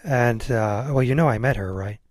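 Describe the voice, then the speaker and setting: A man's voice: medium pitch, normal speed, no echo. A man hosting a podcast, chatting casually with his guest in a conversational interviewing style. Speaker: podcast host.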